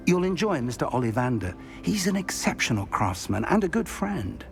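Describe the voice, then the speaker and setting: An actor playing a courteous older British wizard professor in a video game, speaking in a formal, measured, articulate way. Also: male voice, a little high, very animated, soft background music. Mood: courteous, instructive. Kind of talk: video game cutscene acting.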